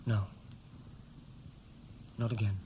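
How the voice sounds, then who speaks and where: Defiant son, hissy old recording. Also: male voice, lowish voice, little pitch movement, slow, dull audio. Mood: wistful, bitter. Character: defiant, independent adult son back from America, wounded. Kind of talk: 1960s radio play acting. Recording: hissy 1960s radio recording.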